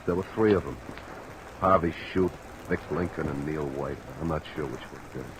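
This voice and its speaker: Cornered male bartender, deep voice, evasive, blustering, vintage radio drama, noisy rain-covered recording. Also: moderate pace. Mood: defeated confession. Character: nervous, defiant male bartender cornered into confessing. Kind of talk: old-time radio crime drama acting.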